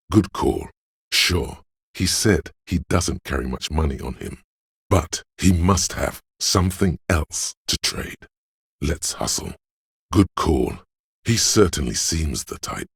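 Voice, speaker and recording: An actor voicing a slick, flashy hustler as a video game inner voice, with a very deep gravelly voice and a smooth-talking, theatrical, cocksure manner. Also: male voice, no echo, clean studio sound, exaggerated voice. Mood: sly, coaxing.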